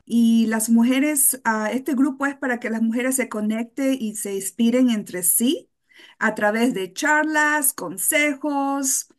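Unhurried woman with a higher voice. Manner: unhurried, slips in English fillers. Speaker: guest, women's networking organizer. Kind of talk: describing an event in an interview. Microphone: video call.

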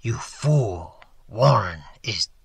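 A storyteller voicing an inhuman, contemptuous, disembodied thing from a tomb, deep and hollow. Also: expressive, very slow, gravelly, husky, no echo, full character voice. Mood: cold contempt.

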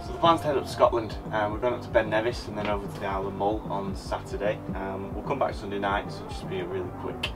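Brief: easygoing man in his thirties, lower voice, casual travel vlog, music under. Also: quick pace, few pauses. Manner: relaxed, chatty, with frequent "uh" and "um". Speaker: vlogger. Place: campervan and outdoors in the highlands. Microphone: handheld camera at arm's length.

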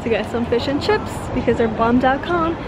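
A young woman in her twenties with a fairly high voice chats her way through a shopping trip, upbeat and easily delighted. She talks into a handheld camera held at arm's length. Clear background noise of the crowd and the street sits under her voice. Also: normal speed. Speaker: vlogger. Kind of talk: casual vlog narration. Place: indoor shopping mall and outdoor street. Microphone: handheld compact camera at arm's length. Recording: noisy mall and street ambience.

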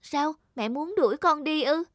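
An actress playing a sweet, naive girl of seventeen in an animated fairy tale, gentle and earnest. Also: high voice, normal speed, no echo, clean studio sound. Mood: worried. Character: sweet, naive seventeen-year-old golden-haired girl.

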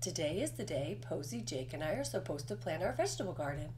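A woman voicing an earnest, polite but easily exasperated young male rabbit in an expressive picture-book read-aloud. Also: fairly low pitch, quick pace, a little hiss or hum.

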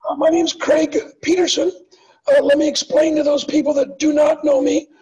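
Raspy-voiced older man on a video call. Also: very high-pitched, flat delivery, moderate pace, mellow. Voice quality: raspy voice from past vocal cancer. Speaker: biology professor. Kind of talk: panel self-introduction. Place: home room, video call. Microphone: webcam on video call. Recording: distant muffled video-call audio.

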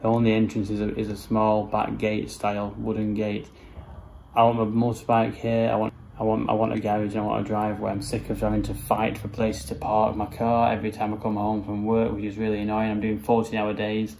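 A man's voice: lowish voice, completely flat delivery, brisk, gravelly, a little mellow, low-quality recording. A man in his thirties giving an informal, matter-of-fact, rambling walk-through vlog narration on a handheld camera indoors. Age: 30s. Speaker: home renovation vlogger. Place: rooms of a house, indoors. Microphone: handheld camera or phone at arm's length. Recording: noticeable background noise, handheld camera indoors.

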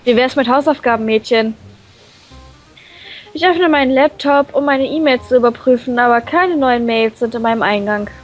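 A woman's voice: a little high, normal speed. A playful woman reading a visual novel expressively for a let's play, with casual banter in between. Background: background game music.

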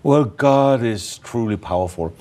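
A man's voice: mid-range voice, lively intonation, darkish tone. A man from Denmark with a Danish accent, telling stories as a guest in a studio interview, with many fillers. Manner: halting, many fillers, non-native grammar. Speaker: evangelist guest. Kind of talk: interview testimony. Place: studio set, indoors. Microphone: lapel mic.

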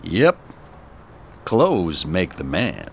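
Cocky, animated, low-voiced streetwise man. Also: very slow, gravelly, a little hiss or hum, full character voice. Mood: breezy, cocky. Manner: smug, knowing, streetwise. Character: cocky, sardonic well-dressed man with a vengeful swagger. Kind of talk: radio drama acting.

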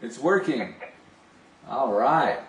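A man's voice: medium pitch, animated, slow pace. A middle-aged man chatting casually and gushing with enthusiasm as he demonstrates a product and talks on a call. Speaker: video phone sales representative. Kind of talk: casual demonstration and phone chat. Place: small home office, indoors. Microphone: camera a few steps away. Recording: roomy, distant camera mic with hiss.

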